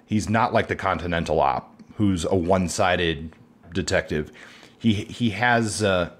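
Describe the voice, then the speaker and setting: A man's voice: sits low, measured pace. A man co-hosting a podcast, talking casually and a little hesitantly, and moving the discussion along. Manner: hesitant, many fillers, steers the discussion. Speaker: podcast co-host.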